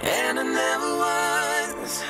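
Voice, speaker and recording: A woman singing a slow melodic line, processed with pitch-shifted, vocoder-like harmonies. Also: over loud music.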